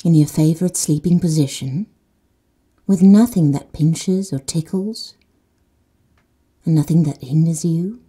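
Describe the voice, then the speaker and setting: A woman leads a guided sleep meditation in a slow, soothing manner. She often drops to soft whispers. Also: low-pitched, husky. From Netherlands.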